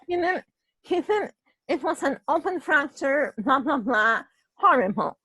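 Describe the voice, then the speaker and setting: A woman from Poland with a Polish accent and a husky voice, telling a personal story in casual chat, halting and restarting her phrases and often adding "right?". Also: high voice, big pitch swings, bright, forceful tone, no echo, low-quality recording. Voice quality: husky voice, ongoing voice problem.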